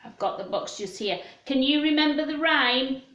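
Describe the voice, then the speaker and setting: Woman, higher-pitched, children's storytime host, gentle sing-song questions, echoey room. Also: animated, normal speed, dull audio. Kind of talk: children's storytime presentation, chatty show-and-tell. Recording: roomy, echoing room sound.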